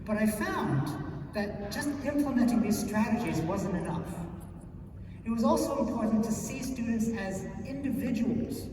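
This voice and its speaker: A man from New York City giving a short conference talk as a math teacher, relaxed and conversational with frequent "um"s. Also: high-pitched, moderate pace. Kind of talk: short prepared talk on stage. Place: large conference hall. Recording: echoey hall, picked up from far off, noisy.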